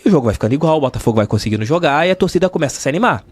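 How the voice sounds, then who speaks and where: A Brazilian man with a Rio state accent talks very fast in a slightly high voice, recorded close and dry. He tells stories effusively and casually, repeating phrases to drive them home. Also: expressive, a little mellow, no room sound. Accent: Brazilian, from Rio de Janeiro state. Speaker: sports journalist, interview guest. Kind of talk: casual storytelling in an interview. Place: podcast studio.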